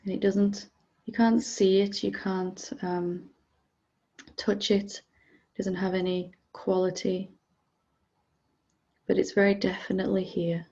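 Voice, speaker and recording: A woman leading a guided meditation as a teacher, speaking gently and calmly with unhurried pauses, softly giving instructions. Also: medium pitch, muffled recording.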